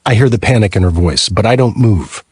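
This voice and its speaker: Very deep, husky male narrator. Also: brisk, a little mellow, dry recording, clean studio sound. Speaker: audiobook narrator. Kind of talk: scripted first-person thriller narration.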